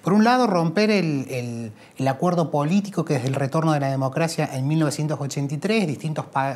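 A man's voice: a little high, darkish tone. A man in his thirties with an Argentine accent, giving earnest, argumentative interview answers in an indoor room. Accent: Argentine Spanish. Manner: earnest, argumentative, rising emphasis on key points. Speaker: lawyer and researcher, interviewee.

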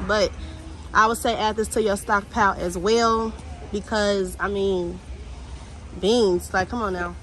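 A woman's voice: mid-range voice, fairly even intonation, a little slow, a bit bright. A young American woman chatting informally and directly to viewers, as in a casual shopping vlog. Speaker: vlogger. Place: inside a large warehouse store. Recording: faint store ambience noise.

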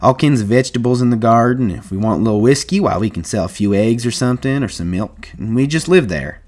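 A man's voice: medium pitch, lively intonation, fast, a little mellow, pitched above the performer's own voice, close to an everyday voice. A man as a plain-spoken, folksy ranch hand. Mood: warm, dreamy. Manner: plain-spoken, folksy, rustic American speech. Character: shrewd, guarded, dreaming American ranch hand.

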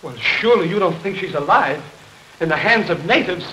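An actor in a 1930s film playing a distraught, disbelieving young husband in a theatrical, agitated manner. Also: male voice, sits high, animated, brisk, sharp tone. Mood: disbelieving, horrified. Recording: echoey, hissy 1930s film soundtrack under rain. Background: rain and thunderstorm ambiance.

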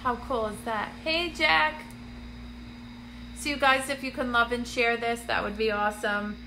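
A bright-voiced woman chats on a phone live stream from a dim room, greeting viewers by name between plenty of ums. She sounds congested and a touch raspy, with a faint hum behind her. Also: on the high side, fairly even intonation, measured pace, poor audio. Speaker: medium hosting a live stream. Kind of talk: casual livestream chat to viewers. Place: dim indoor room, seated on a couch. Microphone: phone camera at arm's length.

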